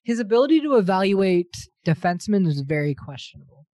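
A man's voice: high-pitched, no echo. Rambling man in his twenties. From Ontario, Canada. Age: 20s. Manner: rambling, animated, quick to laugh. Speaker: podcast co-host. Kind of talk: casual sports chat.

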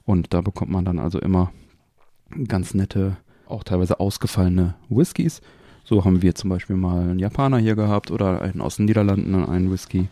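A man's voice: low-pitched, steady tone, fairly quick, dark tone, dry recording. A man hosting a podcast in a casual, chatty style. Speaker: podcast host. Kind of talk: casual chat.

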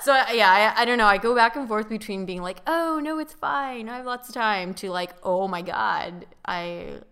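A woman's voice: medium pitch, lively intonation, normal speed, a bit bright, smooth. A woman in her thirties in a casual chat, thinking aloud and often restarting her sentences. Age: 30s.

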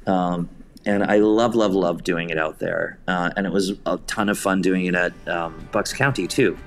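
A man's voice: low-pitched, fairly even intonation, normal speed, soft background music. A man speaking casually as an interview guest, telling anecdotes and acting out bits of remembered conversation. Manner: anecdotal, quoting conversations in his own voice. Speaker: guest, theatre director and writer.

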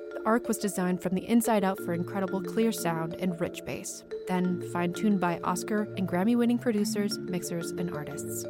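A woman reading a scripted podcast sponsor ad as its announcer. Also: mid-range voice. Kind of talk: scripted ad read.

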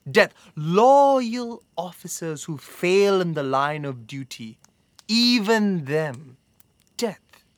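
A man playing a stern, vengeful Mongol officer in an audio drama, speaking in a threatening, clipped and deliberate way. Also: high-pitched, very animated, dry recording, full character voice. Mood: cold, menacing.

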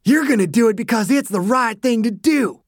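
A man's voice: on the high side, measured pace, no room sound, studio quality. A man voicing a brutal, menacing man who threatens and snarls at a younger man. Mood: furious.

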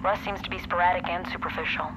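An actress playing a capable, salty submersible pilot in naturalistic audio fiction, with terse, casual delivery. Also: female voice, on the low side, fairly even intonation, fast, background noise, natural voice. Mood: awed, uneasy. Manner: terse radio procedure mixed with casual swearing.

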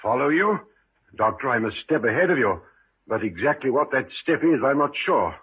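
An affable, confident British gentleman of business acted by a man, mid-pitched with very lively intonation. He speaks politely in radio drama style, on a tinny recording with clear noise. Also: normal speed, close to an everyday voice. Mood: alert. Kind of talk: 1950s radio drama acting.

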